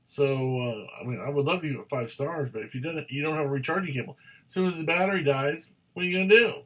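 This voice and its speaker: A man reviewing a product in a casual, hands-on way, halting and rambling as he goes and often repeating himself. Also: fairly high pitch, fairly bright tone, low-quality recording.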